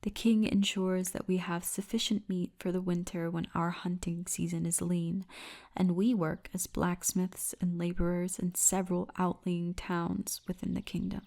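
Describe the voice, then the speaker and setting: A woman voicing a friendly female orc tour guide in an intimate ASMR roleplay, speaking softly and often breathily. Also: fairly low pitch, level tone. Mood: gentle, earnest. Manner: soft, intimate, unhurried storytelling, often breathy. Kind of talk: ASMR roleplay, addressed to the listener.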